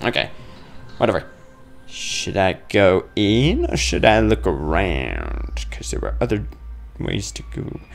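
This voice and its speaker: A man's voice: low-pitched, huge pitch swings, slow, creaky, faint music behind. A man giving casual, goofy let's play commentary on a horror game, talking to himself as he plays.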